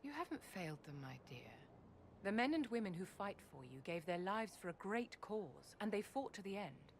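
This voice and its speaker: An actress playing a poised, steely court adviser in a video game, speaking in a formal, measured, imperious manner. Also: female voice, medium pitch, sing-song, slight background noise, natural voice. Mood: firm, urging. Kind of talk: video game character acting.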